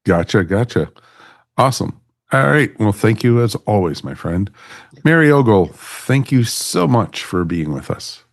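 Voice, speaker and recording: A man's voice: lowish voice, very animated, measured pace, vocal fry. A man hosting a podcast in casual, chummy chat, addressing everyone like friends. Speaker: podcast host.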